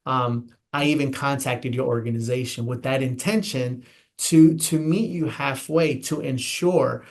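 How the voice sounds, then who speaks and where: A man's voice: mid-range voice, unhurried. An American man, a guest elections official, explaining voting steps in an earnest, emphatic way, often repeating and restarting phrases. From United States. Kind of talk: interview explanation.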